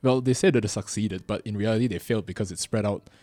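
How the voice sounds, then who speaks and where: A man in his twenties with a Singaporean accent, hosting a podcast in casual chat, quick and chatty with many fillers. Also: on the low side, warm tone, no room sound. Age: 20s. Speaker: podcast host.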